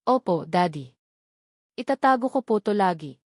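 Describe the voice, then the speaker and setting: A woman's voice: mid-range voice, animated, normal speed, dry recording, clean studio sound, lightly acted. A woman voicing a curious, affectionate five-year-old boy, eager and polite, in an audiobook love story. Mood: eager, amazed.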